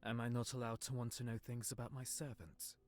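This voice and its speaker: A man playing a guarded, heartbroken young nobleman in a visual novel, speaking in an earnest, measured way. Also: mid-range voice, level tone, a little mellow, lightly acted. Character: heartbroken young nobleman, guarded, wounded, confiding in his servant. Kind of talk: visual novel character acting.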